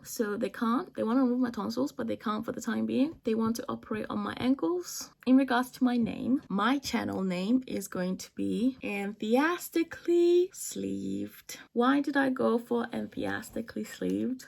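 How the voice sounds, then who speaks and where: A woman's voice: mid-range voice, vocal fry. A woman in her twenties, losing her voice to a cold, talking earnestly to camera in a personal vlog and repeating phrases for emphasis. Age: 20s. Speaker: vlogger. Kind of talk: casual personal vlog talk.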